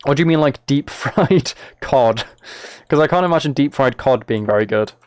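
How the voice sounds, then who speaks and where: A man's voice: medium pitch, expressive, normal speed, a little mellow, smooth, no echo. A British man chatting casually on a game livestream, talking to viewers in a mock-exasperated, self-deprecating way. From United Kingdom. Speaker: live streamer.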